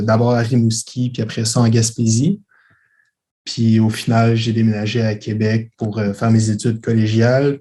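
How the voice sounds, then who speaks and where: Soft-spoken young man, low and flat. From Belgium. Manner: hesitant, many fillers, relaxed. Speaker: interview guest, filmmaker. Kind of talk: casual interview answers.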